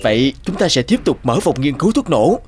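Earnest, lively-pitched cartoon family man. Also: fairly quick. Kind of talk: dramatic cartoon dubbing. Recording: music under the voice.

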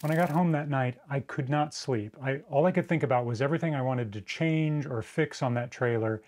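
A man in his forties, the host, narrating a casual walkthrough of an old camper trailer in a thoughtful, measured way with pauses and fillers. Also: medium pitch, darkish tone. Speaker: vlogger touring a camper. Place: small camper trailer and outdoors, plus a dim room for to-camera parts.

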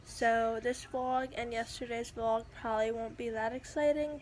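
A woman's voice: fairly high pitch, slight background noise. A young woman in her twenties chatting casually to her phone camera in an upbeat vlog, with lots of fillers. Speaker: vlogger. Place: hotel room and outdoors at a beach town. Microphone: phone camera at arm's length.

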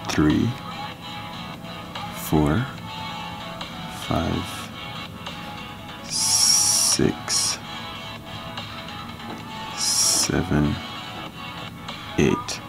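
A man from Jamaica walking through a fishing knot step by step in a relaxed, casual tutorial. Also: rumbling low. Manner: relaxed, step-by-step instruction with counting. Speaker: fishing channel host.

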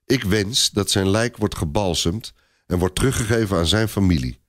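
A man's voice: sits low, moderate pace, no echo, clean studio sound, natural voice. A man as a stern, grave commander. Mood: moved, solemn. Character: stern, sombre, tall gaunt medieval commander.

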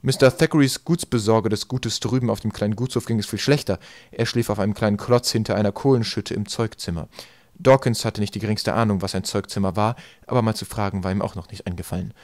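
A man's voice: lowish voice, steady tone, quick pace, darkish tone, no room sound, studio quality. A man narrating a storybook as a scripted audiobook reading. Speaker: audiobook reader.

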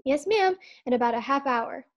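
Woman acting a high-voiced, polite maid. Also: animated, normal speed, very noisy. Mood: polite, frank. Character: polite, plain-spoken household maid. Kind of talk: old-time radio sitcom acting.

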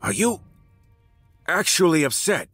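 A man's voice: a little high, very animated, unhurried, fairly bright tone, breathy edge, exaggerated voice. An actor in an audio drama playing a smug, menacing crime boss who taunts and condescends as he threatens. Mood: smug, cynical. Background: music.

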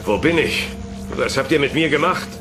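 A man's voice: on the high side, lively intonation, normal speed, lightly acted. An actor playing a bewildered, distressed test-subject prisoner in a sci-fi radio drama, questioning his captors. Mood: confused fear. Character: bewildered male prisoner being experimented on. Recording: loud music behind the voice.

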